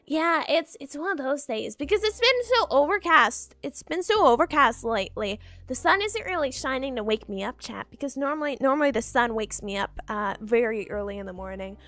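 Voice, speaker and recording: Woman streamer, bright high voice bouncing all over, giggly groggy chat, soft music under it. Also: lively intonation, fairly quick, few pauses, dry recording. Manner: playful, giggly, repeats herself a lot. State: just woken up, groggy. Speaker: VTuber streamer. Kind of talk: casual chat stream talking to viewers. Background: faint background music.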